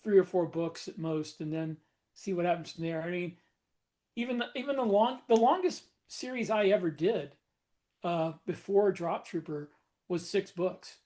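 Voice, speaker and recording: A man co-hosting a podcast chats in a relaxed way and often breaks into small laughs. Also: sits high, normal speed. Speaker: podcast co-host, author. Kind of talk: casual panel chat.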